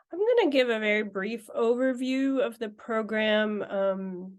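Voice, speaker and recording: Earnest woman presenting over video call. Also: mid-range voice. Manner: earnest, thinks aloud with restarts. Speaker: program director and teacher. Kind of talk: informal presentation.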